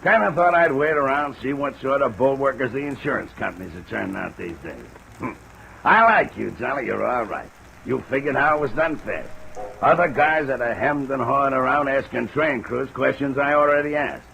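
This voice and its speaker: An actor playing an aging, gruff railroad detective in a radio drama, with a gravelly voice, blunt folksy manner and thick railroad slang. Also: male voice, mid-range voice, moderate pace, sharp tone. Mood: wry, approving. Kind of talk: old-time radio drama acting. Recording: noisy, hissy old radio recording.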